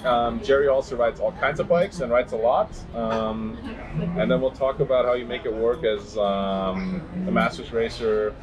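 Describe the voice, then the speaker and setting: A man's voice: mid-range voice, poor audio. Host: man in his 40s, loose rambling interviewer, crowd noise behind. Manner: rambling, many "um"s and restarts. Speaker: podcast host. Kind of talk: casual podcast interview.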